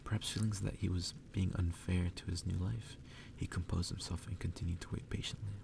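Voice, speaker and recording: Male ASMR reader, whispery and very creaky, little pitch movement, picked up from afar over noise. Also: little pitch movement, a little fast. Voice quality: breathy, whispery. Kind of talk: ASMR whispered reading of a story. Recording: distant mic with clear background noise.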